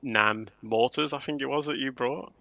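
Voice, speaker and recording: A man's voice: mid-range voice, moderate pace, creaky, pushed and bright, dry recording, lo-fi sound. A man chatting casually as a livestream panelist. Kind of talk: casual chat.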